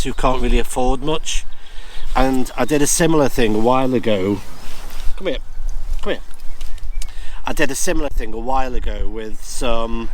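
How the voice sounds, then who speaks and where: A man's voice: mid-range voice, a little hiss or hum, rough recording. A British man chatting casually and hesitantly as he records a rambling vlog about his hobby outdoors. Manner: hesitant, musing, unscripted. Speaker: amateur radio hobbyist and video host. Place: outdoors on a rural walk.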